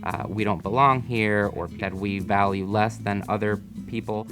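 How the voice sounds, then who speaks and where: A man in his thirties from Miami, an emergency physician, speaking calmly in a measured interview testimonial with occasional fillers. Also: fairly low pitch, over music. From United States (Miami, Florida).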